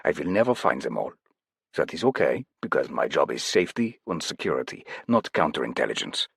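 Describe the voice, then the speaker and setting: A man voicing a burly male ex-SEAL putting on a fake German accent, speaking gruffly. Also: a bass voice, big pitch swings, fairly quick, no echo, exaggerated voice. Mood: firm. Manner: gruff, clipped.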